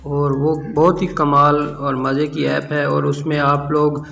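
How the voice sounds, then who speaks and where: A man's voice: fairly high pitch, flat delivery, measured pace, creaky, fairly bright tone, faint music behind. A man hosting a casual tech app tutorial.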